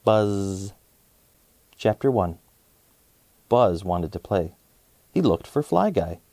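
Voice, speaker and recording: A man in his thirties narrates a children's storybook with lively, swooping intonation and a mellow tone. He takes his time, in a dry, close-sounding room. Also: fairly low pitch, slow pace, no room sound. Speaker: host of a children's story channel. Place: small indoor set, dry sound.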